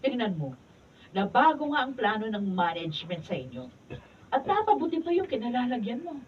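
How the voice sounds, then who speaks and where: A devout, encouraging wife in a radio drama, with a lively, swinging delivery. She sounds distant on a dull recording with faint noise. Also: a little high, normal speed. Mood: teasing, encouraging. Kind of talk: Filipino radio drama acting. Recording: distant, muffled, noisy studio camera audio.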